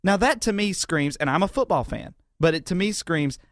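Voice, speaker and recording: A man with a fairly high voice and an American accent speaks as a radio host. His delivery is polished yet conversational, and the sound is dry and close, free of room echo. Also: animated, moderate pace. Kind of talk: on-air radio talk.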